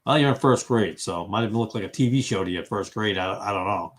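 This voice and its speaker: A man's voice: on the low side, quick pace. Rambling fifty-something man hosting a chat. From Massachusetts, US. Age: 50s. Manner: rambling, thinks aloud with many fillers; reacts to viewers' comments as he goes. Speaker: host of an online fellowship chat. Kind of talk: casual livestream group conversation.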